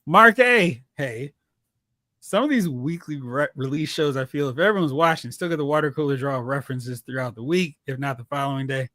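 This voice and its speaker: A man co-hosting a casual pop culture chat, talking in an animated, opinionated way. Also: medium pitch, a little fast, dry recording, studio quality. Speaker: podcast co-host.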